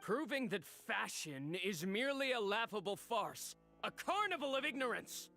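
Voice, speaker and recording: A man's voice: sits high, sing-song, normal speed, gravelly, pushed and bright, faint music behind, exaggerated voice. An actor playing a bitter, scornful male rival designer in a game, sneering and mocking. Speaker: game character.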